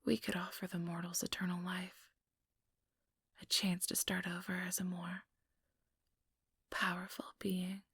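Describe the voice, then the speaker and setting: A woman's voice: on the low side, completely flat delivery, a bit bright, no room sound, clean studio sound, full character voice. Woman playing whispering, smugly persuasive vampire schemer. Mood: measured storytelling. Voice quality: breathy whisper. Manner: measured, condescending, persuasive. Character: ambitious, smugly manipulative female vampire recruiter. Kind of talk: ASMR role-play, whispered and close-up. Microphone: close ASMR microphone.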